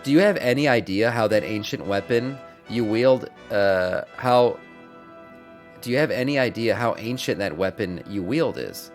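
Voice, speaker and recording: A man's voice: lowish voice, expressive, moderate pace, faint music behind, close to an everyday voice. A man reading aloud the game dialogue of a grave, ominous orc in a solemn, portentous manner. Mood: ominous reverence. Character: grave, ominous male orc warning of cosmic schemes.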